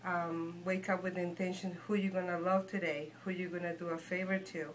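A woman from Guatemala with a Guatemalan Spanish accent, giving an informal spiritual talk in an earnest, reassuring, halting manner. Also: lowish voice, little pitch movement, a little hiss or hum. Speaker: shaman and healer, guest. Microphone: phone handed over.

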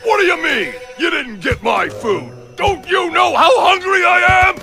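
High, gravelly, bossy cartoon beast. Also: male voice, expressive, normal speed, music in the background, full character voice. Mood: furious. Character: bossy, hungry, hot-tempered animal tyrant, male. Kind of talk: animated cartoon dub.

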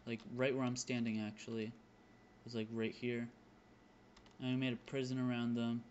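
A man's voice: mid-range voice, level tone, normal speed, slight background noise. A young man giving casual gaming commentary, thinking aloud in a hesitant way and muttering to himself. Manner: hesitant, muttering counts to self. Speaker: gaming video host. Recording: dull lo-fi mic, loud piano music. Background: loud piano background music.

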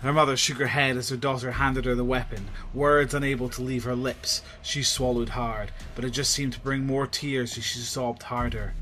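A man's voice: mid-range voice, fairly bright tone. A man narrating a scripted horror audio drama. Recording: clear background noise and ambience.

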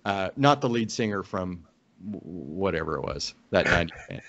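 A man's voice: low voice, lively intonation, moderate pace, fairly bright tone. A middle-aged American man from Oklahoma telling a casual personal story as a podcast guest on a video call. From Oklahoma, United States. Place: indoor room on a video call. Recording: dull lo-fi video call audio.

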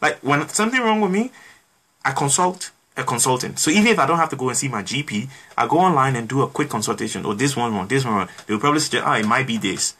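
A young man speaks as a guest in a small home studio, his mid-pitched voice bright and husky. He talks through his point with everyday comparisons and builds it with repeated phrases, close to a desk microphone. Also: expressive, fast. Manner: explains with everyday examples, builds points by repetition. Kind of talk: explanatory conversation.

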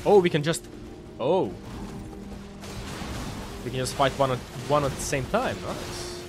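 A young man with a Croatian accent, excitedly commenting on his game live, swearing at bosses and chatting with viewers through a headset. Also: medium pitch, measured pace, music in the background. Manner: excitable, swears and laughs while fighting bosses. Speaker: game streamer. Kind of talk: live gameplay commentary reading chat. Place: home streaming room, indoors.

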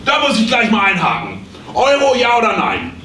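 An actor playing a breezy, digressive oddball therapist in a live comedy play. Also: male voice, high-pitched, wildly swooping pitch, moderate pace. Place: small theatre stage. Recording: echoey stage, distant, noisy.

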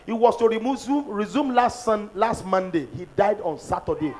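A middle-aged man with a Nigerian accent leading prophetic prayers, shouting declarations into a handheld microphone in a large church. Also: high voice, expressive, normal speed. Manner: fervent, shouting declarations, repeating lines for the crowd. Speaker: pastor. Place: large church auditorium, on a stage at a pulpit.

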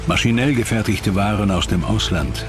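A man's voice: lowish voice, moderate pace. A man giving scripted historical documentary narration as the programme's narrator. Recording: loud music under narration.

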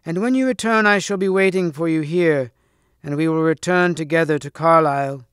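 A loathly old storybook hag, acted out by a man, speaks in a shrill, cracked voice pitched well up. She is imperious and eager to bargain, with archaic turns of phrase. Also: normal speed, fairly bright tone, clean tone, no echo, clean studio sound, lightly acted. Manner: archaic, commanding speech.

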